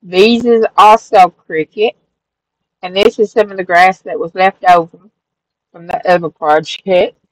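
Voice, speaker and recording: A woman's voice: fairly low pitch, a little slow, rough recording. A middle-aged woman, casually narrating a flip-through of her handmade craft journal, rambling a little and correcting herself as she goes. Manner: relaxed, rambling, with fillers and self-corrections. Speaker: crafting channel host. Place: craft room at a table.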